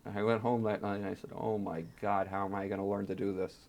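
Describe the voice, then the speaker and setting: Guy with a lowish creaky voice telling his own story in an interview, pauses for drama. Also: flat delivery, darkish tone. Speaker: interviewee, archive fellow and translator. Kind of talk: oral history interview, personal storytelling.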